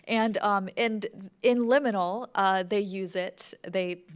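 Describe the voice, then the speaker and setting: A woman speaks as a co-presenter in an informal explanatory talk. Her voice is of medium pitch. She talks casually and haltingly, feeling her way through each point with plenty of conversational fillers. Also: clean tone. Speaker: podcast creator co-presenting a lecture.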